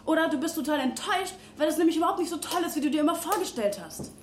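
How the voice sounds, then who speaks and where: A frustrated graduate in her twenties speaks in a high voice. She is disillusioned and argumentative, in naturalistic radio drama dialogue recorded with clear background noise. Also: racing. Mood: bitter, agitated. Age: 20s. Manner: argumentative, questioning. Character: frustrated, disillusioned young pharmacy graduate working in a bar.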